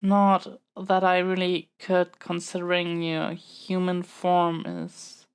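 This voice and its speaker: A woman playing a stubborn, scheming, melodramatic young captive princess in a scripted audio roleplay, with formal, theatrical phrasing and halting pauses. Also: fairly low pitch, completely flat delivery, smooth. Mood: sheepish, coy.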